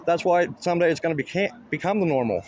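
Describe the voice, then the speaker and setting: Man, Canadian accent, quick speech, insistent explainer, conversational, faint vehicle noise behind. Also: on the high side, lively intonation, darkish tone, lo-fi sound. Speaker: video auditor filming in public buildings. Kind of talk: casual spoken conversation with a police officer.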